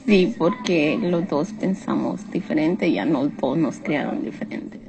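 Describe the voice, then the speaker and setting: A woman's voice: low-pitched, lively intonation, a little slow, gravelly. A woman giving casual answers in a survey interview. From Guatemala. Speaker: survey respondent. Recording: noisy on-location street-interview recording.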